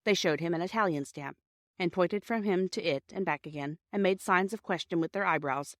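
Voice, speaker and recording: A woman's voice: fairly low pitch, a little fast, dry recording, studio quality. A woman narrating a children's story from a script, as an audiobook reader.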